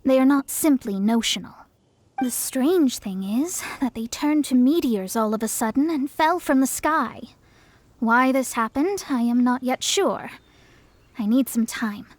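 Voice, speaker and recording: A woman's voice: sits high, no echo. A woman voicing a proud young astrologer mage in a video game, formal and self-assured, with a lecturing manner. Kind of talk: video game character acting.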